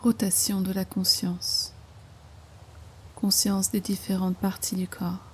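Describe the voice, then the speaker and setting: A woman guiding a yoga nidra relaxation in slow instructions, with hushed, breathy phrase endings and an unhurried manner. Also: medium pitch, level tone. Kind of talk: guided meditation, slow soothing instruction.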